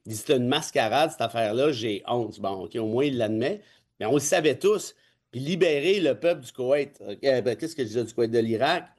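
A man's voice: medium pitch, expressive, fairly quick, slightly rough. Blunt, cynical Québécois man. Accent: Québécois French. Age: 40s. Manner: emphatic, cynical, colloquial. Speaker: guest, constitutional law expert. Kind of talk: casual opinion talk.

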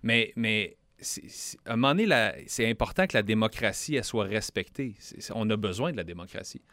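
A man's voice: lowish voice, expressive, a little fast, sharp tone, no echo. A young man with a Quebec French accent, a member of parliament talking earnestly in a radio interview, often restarting his words.

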